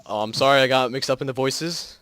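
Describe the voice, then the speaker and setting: A man's voice: medium pitch, fairly quick, a bit bright, a little hiss or hum. A man chatting casually as a co-host in an offhand, joking way. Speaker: co-host of a fan fiction reading channel. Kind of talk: casual chat.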